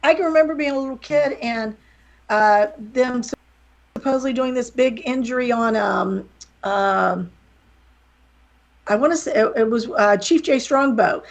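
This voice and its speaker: Gravelly-voiced woman with slight Southern accent. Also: fairly high pitch, lively intonation, normal speed. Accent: slight Southern American. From West Virginia, USA. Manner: candid, rambling, jokey. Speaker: guest, wrestling promoter and author. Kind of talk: casual podcast interview.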